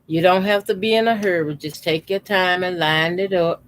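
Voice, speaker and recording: A man in his fifties, a quilting channel host, calmly talking through a how-to demonstration at his sewing machine with his voice deliberately kept low. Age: 50s. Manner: talks unhurriedly while sewing, deliberately keeping his voice lowered.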